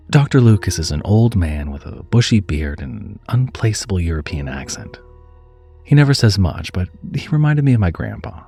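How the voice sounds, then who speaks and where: A man narrating a first-person horror story in a measured, dramatic and expressive way, dropping to a hush at times. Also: low-pitched. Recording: music under the voice. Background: background music.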